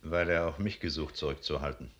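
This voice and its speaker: Radio drama: reserved, grave ageing cavalry colonel, bass register, terse and measured. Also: male voice, fairly even intonation, fairly bright tone, dull audio. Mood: grave, guarded. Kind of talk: 1950s German radio drama verse acting.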